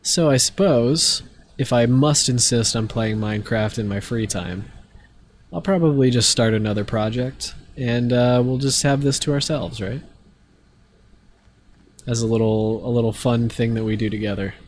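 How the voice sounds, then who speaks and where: A man's voice: medium pitch, moderate pace, a little hiss or hum. A young man chatting casually to viewers while playing a game, a bit stuffy from allergies, rambling with lots of fillers. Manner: relaxed, rambling, many fillers. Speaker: streamer. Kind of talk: casual live-stream chat while gaming.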